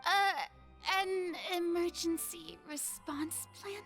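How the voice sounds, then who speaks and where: A woman's voice: extremely high pitch, steady tone, slow, vocal fry, a bit bright, faint music behind, full character voice. An actress playing a self-important young female god in a game, grandiose in speech but easily flustered. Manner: grandiose, pompous, falters when pressed. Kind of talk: anime-style game voice acting.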